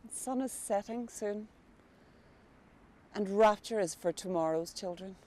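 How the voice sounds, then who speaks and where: Woman narrator, reflective and plain, mellow tone. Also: medium pitch, measured pace, slight background noise. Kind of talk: documentary-style recollection and narration.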